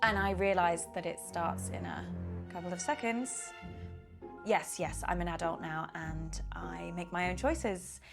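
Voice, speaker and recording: An actress playing an anxious young niece in an interactive film, speaking hesitantly with stammers. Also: female voice, lowish voice, measured pace, vocal fry. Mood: nervous. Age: young adult. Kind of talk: naturalistic film dialogue. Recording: background music under the voice.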